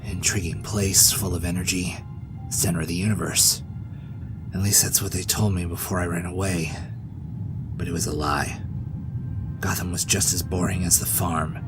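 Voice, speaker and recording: A man playing a wistful, obsessive former supervillain, delivering a deliberate dramatic monologue in a comic fan dub. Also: sits low, lightly acted. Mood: wistful, bitter. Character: reformed male supervillain, brooding, obsessive, wistful.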